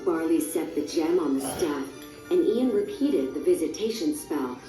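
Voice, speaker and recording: A woman's voice: deep voice, expressive, moderate pace, warm tone. A woman reading a scripted storybook narration for a read-along recording. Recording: roomy, distant, music underneath.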